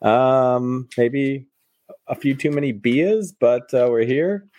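Hoarse, sleepy male co-host. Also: medium pitch, slow, a little mellow, no room sound. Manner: sleepy, laid-back. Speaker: podcast co-host.

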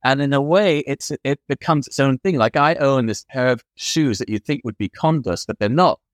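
A man's voice: medium pitch, moderate pace, no echo, clean studio sound. A man appearing as a podcast guest, talking in an expansive, enthusiastic, rambling way. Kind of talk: casual interview chat.

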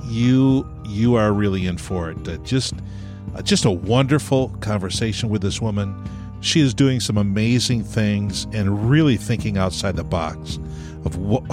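A man co-hosting a podcast in a casual, chatty, jovial and teasing way. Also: lowish voice. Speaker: podcast host.

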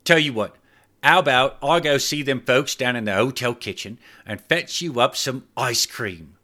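A man's voice: medium pitch, normal speed, pushed and bright, voice pushed up, natural voice. A man voicing a nervous, sheepish male hotel attendant, wheedling and hesitant. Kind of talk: audiobook character voice.

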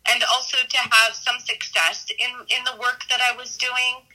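A middle-aged American woman giving reflective interview answers as an educator, haltingly, often repeating and correcting herself. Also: fairly high pitch, little pitch movement, bright, forceful tone, rough recording. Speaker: math teacher and school dean.

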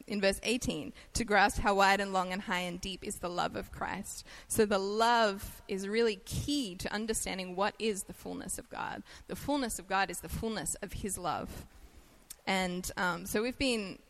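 An Australian woman delivering a sermon in a relaxed, conversational way. Her voice sits at an ordinary middle pitch, and she laughs easily at herself between points. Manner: chatty and self-deprecating, laughs easily. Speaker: guest preacher.

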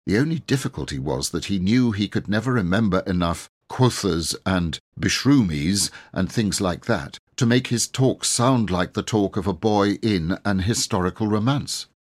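A man's voice: on the low side, expressive, moderate pace, hardly any pauses. A man narrating a story expressively from a script as an audiobook reader.

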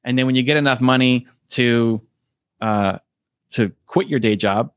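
A man's voice: a little slow, fairly bright tone, clear, resonant tone, no room sound, lo-fi sound. Earnest man, dry close sound. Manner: earnest, acts out quoted voices with rising pitch. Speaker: podcast guest. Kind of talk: reflective conversational interview answers.

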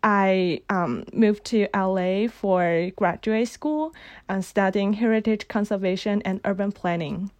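Young woman, Chinese accent, native Cantonese, podcast guest; thoughtful delivery, mid-pitched, pauses on fillers. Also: darkish tone. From Guangdong, China. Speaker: graduate student guest. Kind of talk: podcast interview.

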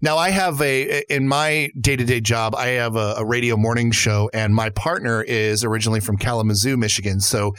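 A man's voice: medium pitch, fairly even intonation, a little fast, hardly any pauses, a bit bright, no room sound. A man co-hosting a podcast, chatting casually and welcoming guests. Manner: friendly, chatty interviewer. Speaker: podcast co-host.

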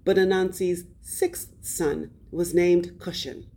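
A woman storyteller with a husky, lowish voice takes her time over a folktale. She narrates expressively as host, stretching key words and leaving dramatic pauses between phrases. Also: fairly even intonation, dark tone.

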